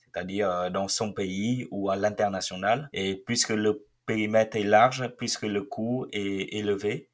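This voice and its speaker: A man in his twenties with a fairly low voice gives a first-person account of his work. He talks haltingly, filling his pauses often as he explains. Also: fairly even intonation. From Vietnam. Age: 20s. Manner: hesitant, many filled pauses. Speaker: researcher and startup founder.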